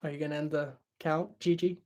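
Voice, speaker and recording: A young man hosting a livestream and answering chat in a relaxed, chatty way, his voice tired after hours of talking. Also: on the high side, brisk. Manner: relaxed, chatty, many fillers and restarts. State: voice tired after a long stream. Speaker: livestream host reading chat.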